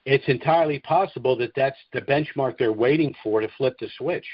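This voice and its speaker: A man's voice: mid-range voice, brisk, husky, dry recording. A man hosting a casual call-in chat, talking in a folksy, agreeable way over a conference phone line. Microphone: telephone conference line.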